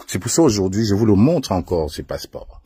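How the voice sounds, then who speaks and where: A man's voice: on the low side, sing-song, a little fast, mellow, husky, no echo. A man with a Cameroonian French accent delivering an emphatic, accusatory political monologue, addressing opponents directly as an activist.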